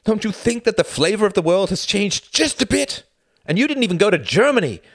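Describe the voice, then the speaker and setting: Man doing a young male scholar, agitated, high-pitched, loud, swearing, dry close sound. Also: lively intonation, normal speed, no echo, lightly acted. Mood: furious. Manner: shouting, passionate, profane.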